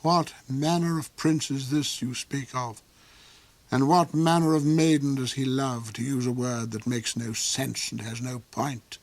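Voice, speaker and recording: A cold and sly villainous Duke from a storybook, played by a man. He speaks in haughty, measured, sneering phrases, recorded close and dry. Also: medium pitch, fairly even intonation, no room sound, natural voice.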